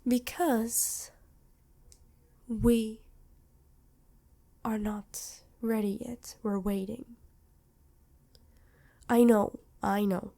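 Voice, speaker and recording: A woman playing an ancient, motherly vampire queen in an ASMR roleplay, speaking formally and tenderly in measured phrases with pauses. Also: fairly high pitch, little pitch movement, creaky, dark tone, heavily acted. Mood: fond, reluctant. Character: centuries-old vampire queen, motherly, regal, protective and worried.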